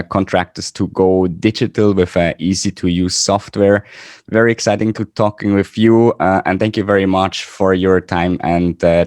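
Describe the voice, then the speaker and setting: A man hosting a podcast interview, asking questions in a halting way with many fillers and restarts. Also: low voice, darkish tone, no room sound, clean studio sound. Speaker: podcast host.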